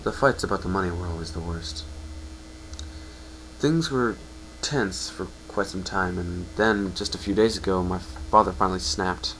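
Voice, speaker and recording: A low-pitched, downcast young pegasus from a fan-fiction reading, played by a man. He speaks earnestly and haltingly, blaming himself. The recording has faint noise. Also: fairly bright tone, low-quality recording.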